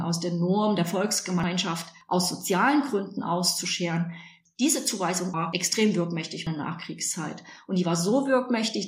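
A woman historian speaks slowly and analytically, her voice fairly low. The recording has a noticeable roomy echo. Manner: deliberate, analytical. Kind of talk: expert interview.

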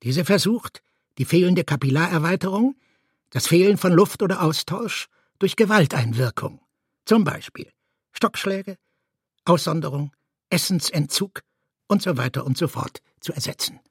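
Husky guy reading a stiff report out loud, emphatic and clipped, kinda high voice, dry sound. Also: expressive, normal speed, dry recording. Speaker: reader of a literary text. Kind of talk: dramatic literary reading of a bureaucratic report.

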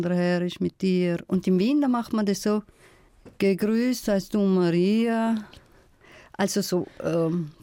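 A middle-aged woman from South Tyrol with a South Tyrolean accent, a guest in a radio interview, speaking in a thoughtful, measured, storytelling way. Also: fairly low pitch, warm tone, no echo, studio quality. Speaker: guest, folklorist.

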